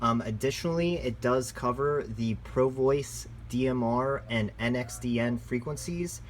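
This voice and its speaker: A man's voice: medium pitch, unhurried. Man reviewing gadgets casually over background noise. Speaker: product reviewer and radio shop presenter. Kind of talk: casual product review, unscripted.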